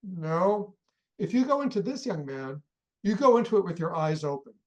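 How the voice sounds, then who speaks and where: A woman acts out a rich, shrewd employer in a radio play, laying down terms in a blunt, businesslike way. The delivery is commanding and highly animated, with plenty of rise and fall in the voice. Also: normal speed, close to an everyday voice, low-quality recording. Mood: stern, warning.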